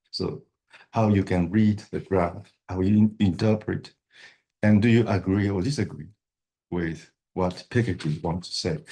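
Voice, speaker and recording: A middle-aged man whose first language is not English, giving a university lecture, halting with many fillers and restarts. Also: lowish voice, dark tone.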